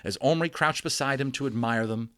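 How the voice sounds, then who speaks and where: A man reading a children's novel aloud in an expressive, theatrical storytelling style. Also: mid-range voice, a little fast, clear, resonant tone, dry recording, clean studio sound.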